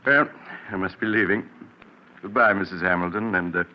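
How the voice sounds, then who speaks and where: Man playing a deep-voiced, kindly family doctor. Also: close to an everyday voice. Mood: kindly, reassuring. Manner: measured, reassuring, avuncular. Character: calm, kindly male family doctor, narrating. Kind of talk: 1940s radio acting and narration. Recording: muffled, hissy 1940s radio recording.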